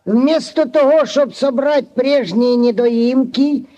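An actor playing an officious village clerk, declaiming formally in a 1940s radio play. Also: male voice, very high voice, slow pace, warm tone, lo-fi sound. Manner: declaiming, formal reading aloud. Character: officious male village clerk reading an order. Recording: vintage 1940s radio recording.

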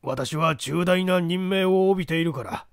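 A man's voice: high voice, normal speed, vocal fry, clear, resonant tone, dry recording, studio quality. A man voicing a solicitous, self-important husband with a fussy, paternal manner, in an audiobook. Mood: concerned.

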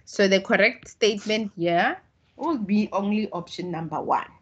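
A woman's voice: mid-range voice, husky, highs cut off. A South African woman whose first language is Tswana, patiently explaining statistics in an online tutorial. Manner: slow, patient explaining with restarts. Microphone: video call.

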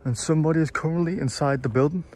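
A man's voice: on the high side, racing, a little mellow, slight background noise. A young man talking casually as an exploring vlogger outdoors at night. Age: young adult. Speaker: vlogger and paranormal investigator. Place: outdoors at night near abandoned building.

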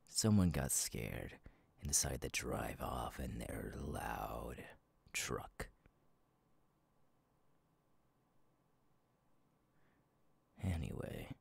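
A man voicing a sadistic masked killer in an ASMR roleplay, husky and taunting, slow and halting, often dropping to a whisper. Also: extremely low pitch, heavily acted. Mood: coldly taunting. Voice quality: husky, gravelly. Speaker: voice actor, ASMR artist. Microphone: close, dry microphone.

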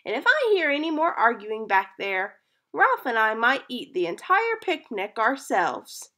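A patient storybook mother, gently teasing and upbeat, as a woman reads her lines. Her voice sits a little high and moves a lot. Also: very animated, a little slow, heavily acted. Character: patient, playful mother figure, adult woman.

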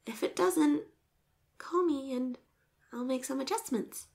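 A woman's voice: sits high, a little slow, a little mellow. A woman voicing a shy, flustered popular schoolgirl with a crush in a romantic roleplay, sweet but insistent, with bashful stammers and whispered asides. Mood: bashful, hopeful.

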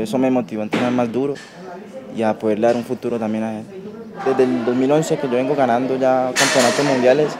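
Young Colombian man over background music. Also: medium pitch. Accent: Colombian, Valle del Cauca. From Yumbo, Valle del Cauca, Colombia. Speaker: athlete being interviewed. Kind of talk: documentary interview, personal account. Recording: music under the voice.

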